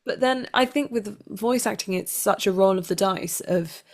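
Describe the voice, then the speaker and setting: A woman being interviewed as an actor, talking casually in a chatty, expressive way. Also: mid-range voice, moderate pace.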